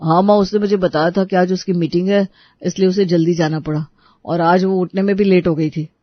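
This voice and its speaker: A man's voice: sits high, steady tone, brisk, no room sound, close to an everyday voice. A man voicing a placating, reasonable family man in a dramatised household story, on a slightly muffled recording. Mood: explaining. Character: reasonable, pleading men of an Indian household.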